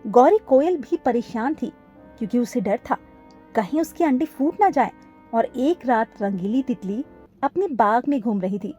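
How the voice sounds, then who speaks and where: A woman's voice: on the high side, animated, measured pace, dark tone, lo-fi sound, soft background music. A woman reading scripted narration for a children's cartoon story.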